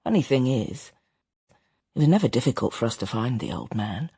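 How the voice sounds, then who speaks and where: A man's voice: mid-range voice, sing-song, moderate pace, mellow, slightly rough, dry recording, studio quality, exaggerated voice. An actor playing a reflective grown son, confiding wistful memories of an absent father in an intimate radio drama monologue. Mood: wry, reminiscing. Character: reflective adult son recounting his estranged father.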